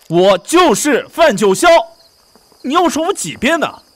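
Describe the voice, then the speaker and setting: A man acting in a costume audio drama as a flustered, brash young man posing as a prince, theatrical and quick to protest or mutter asides. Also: very high-pitched, very animated, fairly bright tone, full character voice. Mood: indignant.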